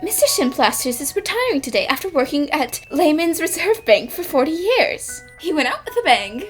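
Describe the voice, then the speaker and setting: Woman acting a high, lively girl detective. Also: moderate pace, faint music behind. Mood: bright, curious. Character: perky, inquisitive young female detective. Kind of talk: animated mystery fan dub acting.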